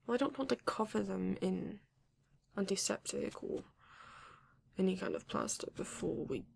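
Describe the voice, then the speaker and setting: A woman playing a flirty, courteous vampire in an ASMR romance roleplay, breathy and often dropping to a whisper, with genteel, teasing phrasing. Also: mid-range voice, expressive, a little hiss or hum. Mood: tender, attentive. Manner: slow, genteel, teasing, old-fashioned wording. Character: flirty, courteous vampire living alone in a castle.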